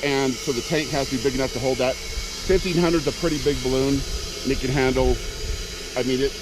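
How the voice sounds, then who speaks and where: A man's voice: mid-range voice, a little fast, poor audio. A man explaining a hands-on technical job in casual conversation, as an instructor. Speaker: balloon launch instructor.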